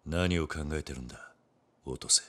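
An actor playing a brooding, resolute samurai in a video game cutscene, speaking in a terse, stern, measured way. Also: male voice, a bass voice. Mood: solemn. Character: brooding, resolute samurai swordsman, gruff and guarded. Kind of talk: dramatic game cutscene acting.

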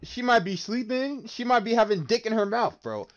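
A man's voice: extremely high pitch, sing-song, normal speed, highs cut off. Teasing young man from New Jersey.

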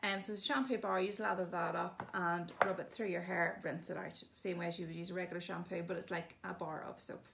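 Woman, slightly low voice, gravelly, chatty product demo, faint hiss behind. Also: fairly even intonation, fast, hardly any pauses, a bit bright. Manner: chatty, many ums, plain explaining. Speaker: shop presenter showing products. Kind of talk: casual product demonstration.

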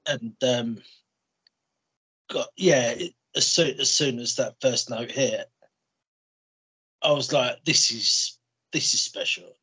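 A man's voice: medium pitch, takes it slowly, pauses often, sharp tone, breathy edge, rough recording. A young man hosting an interview over a video call, speaking hesitantly with lots of fillers. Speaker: radio host interviewer. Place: small room indoors. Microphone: headset on a video call.

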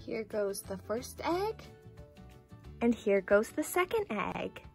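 A woman's voice: a little high, big pitch swings, slow, gravelly, darkish tone, soft background music, heavily acted. A woman voicing a cheerful doll girl in a scripted toy play video, sweet, enthusiastic and instructional. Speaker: toy channel host.

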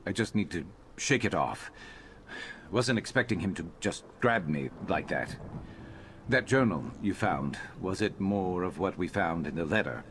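An actor playing a brooding, determined reptilian warrior in a video game, terse and guarded. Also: male voice, low-pitched, animated, unhurried, fairly bright tone, slight background noise. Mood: shaken. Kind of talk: video game character dialogue.

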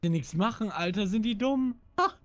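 High-voiced cheeky guy, very animated. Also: moderate pace, dry recording. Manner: cheeky, slangy, short interjections. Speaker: gamer, co-player. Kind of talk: casual gameplay banter. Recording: music under the voice. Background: music.

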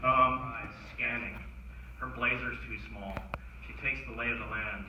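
A man's voice: mid-range voice, fairly even intonation, moderate pace, muffled recording. Man narrating in a very echoey theatre. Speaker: narrator reading stage directions. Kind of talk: live table-read narration with asides. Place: cinema stage before an audience. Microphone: stage mic, captured from far in audience. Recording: very echoey theatre, distant, loud noise.

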